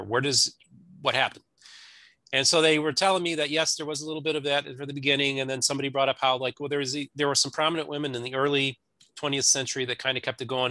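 Male podcast-style interviewer. Conversational, crisp bright edge to the voice, close dry sound. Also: mid-range voice, dry recording. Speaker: interviewer, host.

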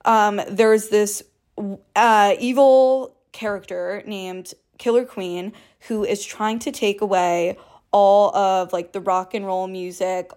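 Chatty young woman with a low alto voice. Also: darkish tone. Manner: chatty, heavy use of "like", casual swearing. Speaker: podcast host. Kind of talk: casual solo podcast storytelling.

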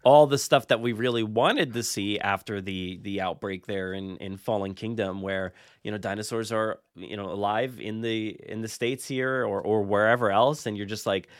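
A man's voice: fairly low pitch, normal speed, few pauses, dry recording. Rambling male podcast host in a dry room. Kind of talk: casual chat.

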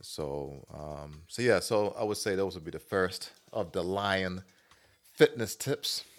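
A man's voice: sits low, slow pace, faint music behind. A man co-hosting a casual advice podcast, talking colloquially and repeating himself to press his point. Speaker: podcast co-host giving advice. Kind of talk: casual banter and opinion.

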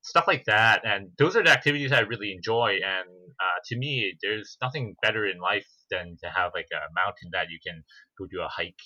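A young man from Hong Kong, a pharmacy manager talking as a podcast guest, earnest and halting, with many filler pauses. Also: on the low side, sharp tone, no room sound. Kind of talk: casual podcast conversation. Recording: slightly dull lo-fi audio.

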